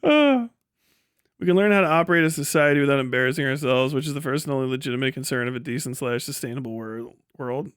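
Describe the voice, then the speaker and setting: A man's voice: mid-range voice, expressive, fast, fairly bright tone, clean tone, no echo, studio quality. A man, casually ranting as a live streamer in a sweary, incredulous, mocking way. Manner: breaks often into laughter while talking. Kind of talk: casual rant and commentary to a stream audience.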